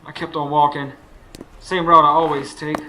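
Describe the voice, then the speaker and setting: A man's voice: a little high, level tone, normal speed, bright, forceful tone, rough recording. A man acting as a weary, anxious working husband in a radio drama. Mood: weary, musing. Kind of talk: radio drama acting with first-person narration. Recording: faint background noise, live stage.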